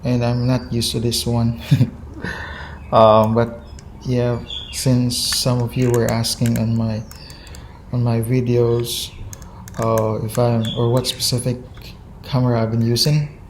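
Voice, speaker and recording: A man's voice: mid-range voice, completely flat delivery, takes it slowly, long pauses, a little hiss or hum. A Filipino man from the Visayas with a Visayan accent, hesitantly showing his filming gear at home and mixing in Tagalog. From Philippines, Visayas. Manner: hesitant, many ums and restarts, mixing in Tagalog. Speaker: vlogger. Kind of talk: casual gear show-and-tell. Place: indoors at home, kitchen. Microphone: phone camera on a chest mount.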